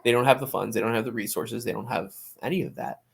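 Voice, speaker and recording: Guy on a sports podcast, kinda gravelly fry in his voice, chatting casually w lots of opinions. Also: mid-range voice, steady tone, fast talker, slight background noise. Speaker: soccer podcast co-host.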